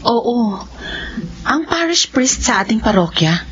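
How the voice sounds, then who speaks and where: A timid, apologetic Filipino wife in a radio drama. Her voice sits slightly high, with dull sound and faint noise. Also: sing-song, slow pace. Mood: hesitant. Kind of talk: radio horror drama acting.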